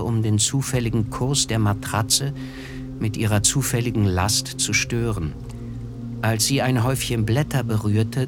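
An actor playing a reflective, melancholy narrator in a literary radio play, speaking in a measured, solemn way. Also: male voice, lowish voice, lightly acted. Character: reflective, melancholy male narrator recalling a tragedy.

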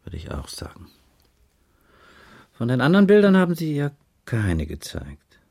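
A man's voice: medium pitch, wildly swooping pitch, moderate pace, vocal fry, darkish tone, slightly rough, exaggerated voice. A man playing a patient, dry investigator in a radio crime drama, asking terse, probing questions and musing as he goes. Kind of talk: 1980s German radio drama dialogue.

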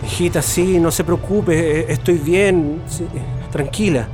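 An actor playing an earnest, talkative veteran Chilean union leader in a radio drama. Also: male voice, on the high side, steady tone, a little slow, loud music behind the voice, lightly acted. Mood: nervous, reassuring. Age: older.

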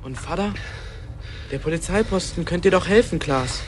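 A man's voice: high voice, moderate pace, slight background noise, close to an everyday voice. A male actor playing an anxious, loyal young boy in a radio drama, questioning naively with a North German accent. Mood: worried.

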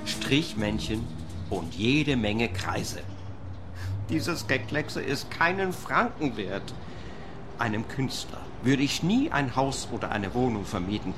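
Fussy, swooping, aggrieved landlord. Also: male voice, mid-range voice, sing-song, takes it slowly, over music. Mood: indignant. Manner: querulous, self-pitying, repetitive. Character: fussy, aggrieved male landlord obsessed with order. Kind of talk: radio play acting.

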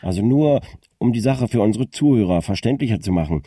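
Male radio host, very dark mellow tone, lively intonation, formal, composed, probing. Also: lowish voice, brisk, breathy edge, no room sound, clean studio sound. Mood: composed, pointed. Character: smooth, probing local radio phone-in host. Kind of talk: radio presenting in a drama.

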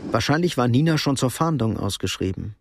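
An actor narrating a radio crime drama in standard German as a sober storyteller. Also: male voice, mid-range voice, animated, brisk, dry recording, studio quality.

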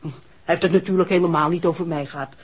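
A woman's voice: on the low side, fairly even intonation, quick pace, husky. An actress playing a guarded, curt garage woman in an old radio drama, wary and clipped in her answers. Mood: guarded, denying. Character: guarded, curt garage-keeper mother with a grown son. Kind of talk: 1960s Dutch radio drama acting. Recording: muffled old radio recording.

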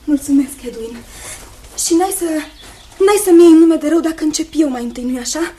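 An actress playing a sweet, earnest, unhappy young fiancée in a Romanian radio play, speaking tenderly and hesitantly. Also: female voice, sits high, lively intonation, mellow, slight background noise. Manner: tender, hesitant, repeats phrases, seeks agreement. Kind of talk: Romanian radio theatre acting.

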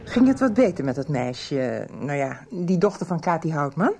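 A woman's voice: sits low, big pitch swings, moderate pace, warm tone, breathy edge, full character voice. An actress playing a judgmental, detached, well-off woman in a 1990s radio soap. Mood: disapproving.

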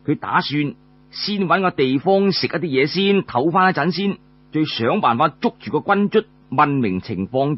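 A man with a Cantonese accent telling a story in the traditional oral style, colloquially, with drawn-out particles between phrases. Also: a little high, slightly rough, lo-fi sound.

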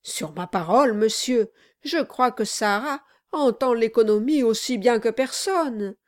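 A woman voicing a servile, flattering male lawyer in an audiobook, obsequious and deferential. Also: fairly high pitch, unhurried. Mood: anxiously deferential. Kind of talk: dramatized audiobook reading.

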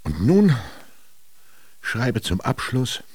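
An actor playing a dying ancient Egyptian scribe in a radio drama, solemn and declamatory with a gravelly, raspy voice. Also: male voice, mid-range voice, wildly swooping pitch, drawn-out words, a little mellow, exaggerated voice. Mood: solemn, defiant. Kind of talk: slow theatrical radio drama monologue.

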